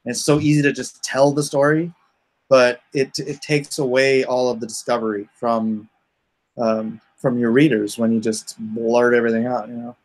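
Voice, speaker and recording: A man's voice: mid-range voice, level tone, normal speed, low-quality recording. A man chatting casually as a livestream host, rambling informally with joking asides. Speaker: comic artist hosting a crowdfunding livestream.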